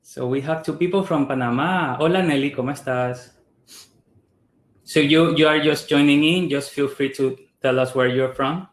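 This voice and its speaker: A man's voice: a little high, normal speed. A young man from Ecuador hosting an online design meetup, chatty and friendly, with lots of fillers and restarts. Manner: friendly, many fillers and restarts, mixes in Spanish greetings. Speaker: meetup host. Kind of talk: casual online meetup introduction. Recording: roomy echo on a video call.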